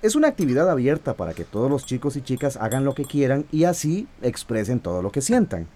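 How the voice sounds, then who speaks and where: An actor playing a kind, enthusiastic teacher with a Costa Rican accent, in a radio drama. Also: male voice, medium pitch, sing-song, fairly quick, warm tone. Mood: friendly.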